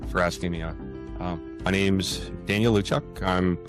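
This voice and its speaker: Deep-voiced Canadian man of sixty. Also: steady tone, over music. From Toronto, Canada. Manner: halting, hesitant, thinking aloud. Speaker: podcast guest, author. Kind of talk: casual conversational interview answers.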